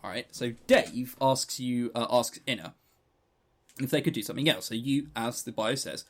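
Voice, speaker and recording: A man's voice: mid-range voice, normal speed, a bit bright. A man co-hosting a podcast, casually reviewing a game and retelling its story in a rambling, chatty way with stammering restarts. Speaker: podcast co-host.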